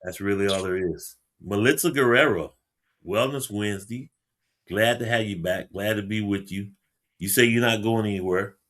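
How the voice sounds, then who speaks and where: A man's voice: lowish voice, moderate pace, fairly bright tone. A man hosting a podcast interview, encouraging his guest with frequent affirmations. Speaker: podcast host. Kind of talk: casual interview chat.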